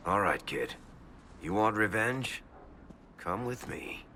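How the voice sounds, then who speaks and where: An actor playing a gruff, worldly, fatherly man in a video game cutscene, talking in a blunt, streetwise way. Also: on the low side, expressive, slow pace, pushed and bright, slight background noise, exaggerated voice. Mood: blunt, urgent.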